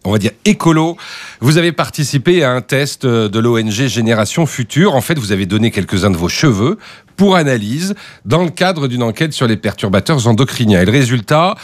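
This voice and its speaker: A man's voice: medium pitch, big pitch swings, fairly quick. A man hosting a live radio news interview, hesitating and repeating words as he forms his questions. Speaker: radio host.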